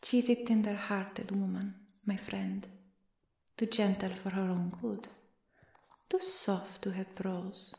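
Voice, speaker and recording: A woman's voice: medium pitch, steady tone, takes it slowly, gravelly, darkish tone, roomy sound. A woman playing a stern female vampire, cold, formal and disdainful, in an ASMR roleplay. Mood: brisk, solemn. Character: stern, scornful female vampire, loyal servant of a countess. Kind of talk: ASMR roleplay audio drama.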